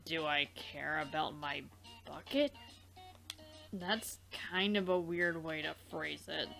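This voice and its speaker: A woman with a slightly low voice co-hosts a game let's play. She talks slowly in casual, rambling bursts, repeating words as she goes, over faint music. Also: lively intonation, gravelly, bright, forceful tone.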